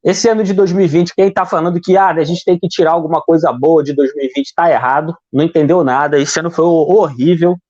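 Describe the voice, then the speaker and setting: A man's voice: on the high side, steady tone, fast, hardly any pauses, darkish tone. A Brazilian man giving heated, rambling political commentary on a live stream, often repeating words as he searches for them. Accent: Brazilian Portuguese. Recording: dull lo-fi stream audio.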